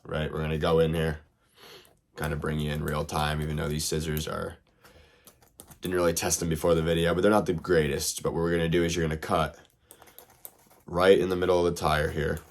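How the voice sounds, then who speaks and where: A young man with a very deep voice, audibly hoarse as he recovers from being sick. He talks loosely through a hands-on tutorial, filling gaps with ums and drifting into side remarks. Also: flat delivery, a little fast, long pauses. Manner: loose, rambling, lots of fillers. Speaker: RC hobby video host. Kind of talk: casual how-to tutorial.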